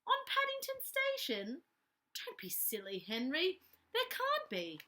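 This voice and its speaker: A woman voicing a kindly, excitable married woman in a children's story, motherly, exclamatory and decisive. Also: very high-pitched, unhurried, voice pushed up, exaggerated voice. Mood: incredulous.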